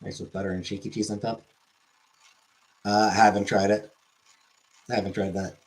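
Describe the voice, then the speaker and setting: A man's voice: on the low side, little pitch movement, moderate pace, low-quality recording. A man hosting a livestream, rambling and joking as he reads chat messages aloud. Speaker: livestream host. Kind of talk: casual chat.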